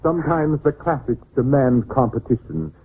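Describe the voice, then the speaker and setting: A man's voice: mid-range voice, very animated, moderate pace, slight background noise, close to an everyday voice. Man acting a sinister, genteel madman, tinny old radio. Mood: gloating menace. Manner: theatrical, formal, menacingly polite. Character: sinister, genteel, mad organist and widower, murderous.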